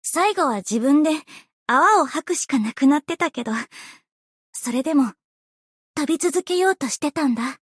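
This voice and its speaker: A woman playing an earnest, bubbly, easily flustered young female idol in an anime game, with a cute, expressive, girlish delivery. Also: high-pitched, normal speed, no echo, clean studio sound. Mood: dreamy, wistful. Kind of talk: anime game character voice lines.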